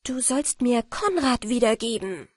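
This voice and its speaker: Fairy-tale heroine: female reader as a plucky pleading girl, raised pitch, dry close sound. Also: lively intonation, measured pace, no room sound. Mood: fearless. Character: brave, pleading young girl.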